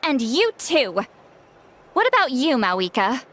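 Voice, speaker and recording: A woman's voice: sits high, very animated, slow pace, fairly bright tone, a little hiss or hum, exaggerated voice. A woman voicing a proud, grumpy, youthful-sounding video game character who grumbles in a huffy, dramatic way. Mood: huffy, indignant.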